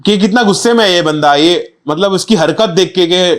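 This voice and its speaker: A man's voice: high voice, normal speed, fairly bright tone. A young man, a guest on a podcast, speaking candidly and reflectively in casual street slang. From India. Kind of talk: casual interview chat.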